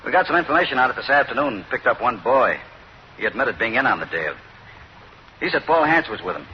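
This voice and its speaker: A man acting a businesslike American detective in a vintage radio crime show. He delivers facts quickly and plainly. The sound is dull with faint noise. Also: medium pitch, very animated, natural voice. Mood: factual report. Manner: matter-of-fact. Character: businesslike male police detective, respectful, flatly factual. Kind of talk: old-time radio police drama acting. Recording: muffled, noisy old radio recording.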